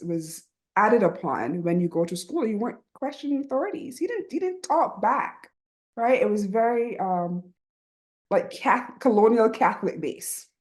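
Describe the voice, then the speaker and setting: A middle-aged woman from Barbados with a Barbadian accent, speaking earnestly and reflectively as a podcast guest, often checking in with "right?". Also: medium pitch. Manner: earnest and reflective, with frequent "right?" and soft laughs. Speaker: guest, writing program founder. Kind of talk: reflective conversational interview.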